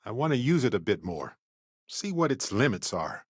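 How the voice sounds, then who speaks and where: An actor playing a witty, adventurous male space explorer companion in a video game, speaking in an expressive, conversational way. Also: mid-range voice, normal speed, breathy edge, no echo, studio quality. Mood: wry, curious.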